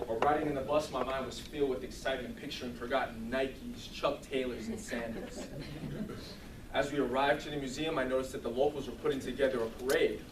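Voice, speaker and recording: A man giving a humorous storytelling speech to an audience in a theatrical, deliberate comic manner. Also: medium pitch. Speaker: speech contest contestant. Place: room with an audience. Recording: roomy, distant mic, clearly noisy.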